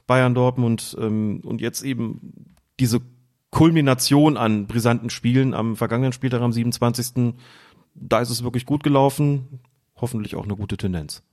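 A man calmly explaining football rules in a casual podcast chat, hesitant and full of fillers. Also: mid-range voice, moderate pace. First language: German. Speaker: referee rules expert guest.

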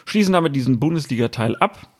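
A man's voice: mid-range voice, expressive, quick pace, darkish tone, husky. A man from Germany hosting a football podcast, chatty and jokey as he moves the talk between topics. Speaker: podcast host. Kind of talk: casual podcast chat.

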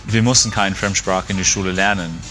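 A man's voice: sits low, fairly even intonation, fairly quick, sharp tone, soft background music. A man from Australia with an Australian accent, a native English speaker, chatting casually and searching for his words. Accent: Australian-accented German. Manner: hesitant, searching for words in imperfect German. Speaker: conversation participant. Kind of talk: casual chat.

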